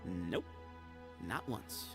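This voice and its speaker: A man's voice: deep voice, big pitch swings, drawn-out words, over music, full character voice. A man voicing a confident young desert dweller in a video game, breezy and playfully cagey. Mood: playful, evasive. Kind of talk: voiced game dialogue.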